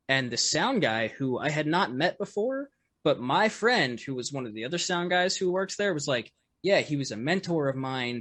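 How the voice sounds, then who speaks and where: A man, a musician guest on a podcast, with a loud voice, telling a story in casual chat full of fillers and restarts. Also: medium pitch, no room sound. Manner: rambling, lots of fillers and restarts, laughs often.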